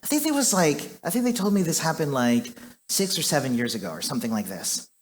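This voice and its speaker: Man from Chile on a conference stage, slightly high voice, chatty and self-deprecating, lots of "like" and "um". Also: sing-song, fast talker, slight background noise. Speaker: conference speaker. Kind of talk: conference talk.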